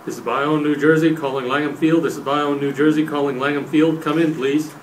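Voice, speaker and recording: A man's voice: on the high side, fairly even intonation, fairly quick, close to an everyday voice. A man playing a terse military radio operator in a live radio play, giving clipped, formal reports. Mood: businesslike. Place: hotel meeting room, panel table. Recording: distant camera mic, faint room noise.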